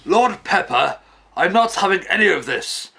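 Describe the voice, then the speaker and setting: A man's voice: sits high, animated, moderate pace, gravelly, pushed and bright, husky, heavily acted. An actor playing a polite, earnest and anxious Welsh father in a radio play. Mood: outraged. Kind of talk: period radio play acting.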